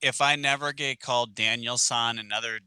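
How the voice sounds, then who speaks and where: A man co-hosting a casual podcast, chatting in a relaxed way with lots of fillers. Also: mid-range voice, moderate pace, smooth, no echo. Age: adult with kids. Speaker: podcast co-host, martial arts teacher.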